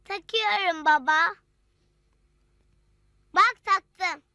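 A performer voicing an excitable, cheerful toddler-like cartoon creature, repeating short phrases in a babyish sing-song. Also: very high voice, extremely slow, no echo, studio quality, full character voice. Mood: eager. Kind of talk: preschool cartoon dubbing.

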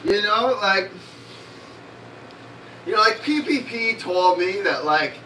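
A man's voice: sits high, expressive, takes it slowly, bright, forceful tone, distant mic, a little hiss or hum, rough recording. A young man in his twenties, swearing and rambling casually while cooking in a small home kitchen. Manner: rambling, heavy swearing and fillers. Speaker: cooking stream host.

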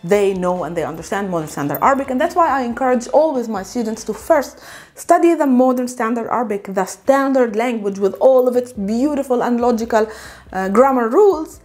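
A woman in her thirties with a Palestinian accent, teaching a video language lesson in a small room on a lapel mic, animated and playful. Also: on the high side. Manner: animated, gesturing, playful asides. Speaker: Arabic teacher. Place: small room against a light backdrop.

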